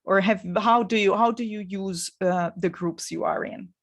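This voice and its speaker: A middle-aged woman with a Hungarian accent, coaching in an energetic, encouraging webinar talk, often repeating words and pausing with fillers. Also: mid-range voice, creaky. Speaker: marketing coach.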